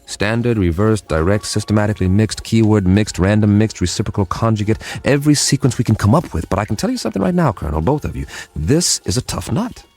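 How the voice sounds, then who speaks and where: An actor playing a weary but determined government codebreaker in a radio drama, with an emphatic, wry manner. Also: male voice, on the low side, animated, fast, hardly any pauses, a little mellow. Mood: weary, exasperated.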